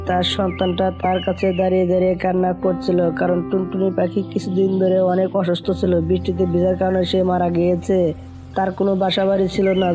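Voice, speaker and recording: Male children's story narrator, fast, very monotone, music underneath. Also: sits high.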